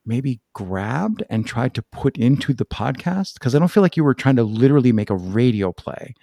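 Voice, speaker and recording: A man's voice: mid-range voice, expressive, moderate pace, creaky, dark tone, no room sound, clean studio sound. A man hosting a podcast, chatting informally and thinking aloud as he hedges his way toward his questions. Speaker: podcast host. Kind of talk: casual interview chat.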